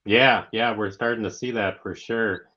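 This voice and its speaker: A man's voice: fairly low pitch, fairly even intonation, normal speed, sharp tone. Male interviewer, dull lo-fi sound. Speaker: interviewer, show host. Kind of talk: casual interview chat. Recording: dull lo-fi online audio.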